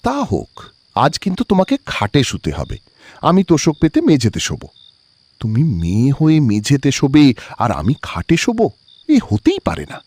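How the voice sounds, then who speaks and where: A man playing a bashful, earnest young man in love, tender and curious, in an animated romantic ghost story. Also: medium pitch, moderate pace, no room sound. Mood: caring, insistent.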